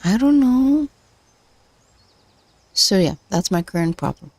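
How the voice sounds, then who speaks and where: Woman on a coding stream, voice going hoarse, slow and halting, dry close sound. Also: medium pitch, expressive, darkish tone, no room sound. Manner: hesitant, many fillers and restarts, talks to herself. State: losing her voice. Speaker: livestream host learning machine learning. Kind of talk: casual screen-share livestream, thinking aloud.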